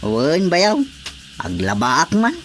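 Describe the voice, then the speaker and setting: A man's voice: on the high side, huge pitch swings, takes it slowly, a bit bright, soft background music, exaggerated voice. A man playing an overworked, fed-up husband in a radio drama, grumbling plaintively in an Ilocano accent. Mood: resigned grumbling. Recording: faint background noise.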